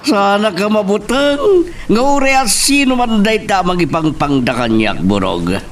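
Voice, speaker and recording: A man's voice: sits high, sing-song, unhurried. A man playing an arrogant, scheming landowner in an audio drama, with a commanding, scoffing manner. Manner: commanding, scoffing, taunting his henchman. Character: arrogant landowner senyor plotting and scoffing at threats. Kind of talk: radio-style Ilocano drama acting. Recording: distant mic, faint background noise.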